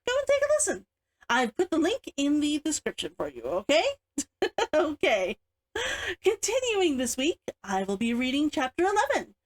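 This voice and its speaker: A woman with a chirpy, expressive manner, speaking as an author hosting her own story read-along. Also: high voice. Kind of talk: animated chat and story read-aloud.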